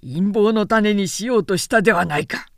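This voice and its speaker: A woman voicing an irascible old samurai chief retainer in an audiobook, with forceful, pompous, archaic speech. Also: medium pitch, moderate pace, no room sound, studio quality, natural voice. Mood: blustering, accusing.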